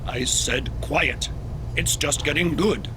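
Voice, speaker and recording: A man's voice: on the high side, slow pace, noisy background. A man playing a pompous, disdainful alien crewman in a sci-fi audio drama, speaking formally. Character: haughty alien station crewman contemptuous of humans.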